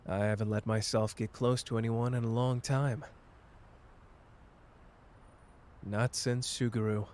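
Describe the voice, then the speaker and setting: A man playing a cocky but wounded young sorcerer in an intimate roleplay audio drama, with halting, emotional delivery. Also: mid-range voice, close to an everyday voice. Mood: vulnerable, hesitant.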